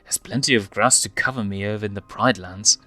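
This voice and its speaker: A man's voice: lowish voice, fairly quick, bright, forceful tone, faint music behind. A man voicing a frank, reluctant young lion cub, a younger brother with a plaintive, questioning manner, in a story reading. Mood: complaining.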